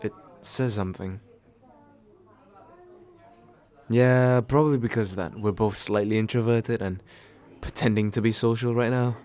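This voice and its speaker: A man playing a tender, nervous, attentive date in a soft, intimate ASMR roleplay, halting and gently self-deprecating with small stammers. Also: on the low side, steady tone, natural voice. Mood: gentle, concerned. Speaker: voice actor in an audio roleplay.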